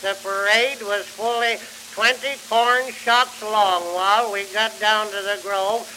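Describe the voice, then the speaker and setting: Crackly, high-pitched old country yarn-spinner. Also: male voice, bright, forceful tone, exaggerated voice. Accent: rural American. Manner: homespun, chuckles between anecdotes. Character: folksy old country storyteller, cheerful rural yarn-spinner. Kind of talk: early 1900s comic monologue recording. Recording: hissy, crackling early phonograph recording.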